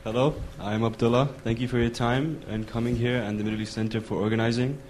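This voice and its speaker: A man's voice: medium pitch, flat delivery, fast, a little mellow, a little hiss or hum. A man in a lecture audience asking a long question, hesitant with many fillers. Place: lecture hall.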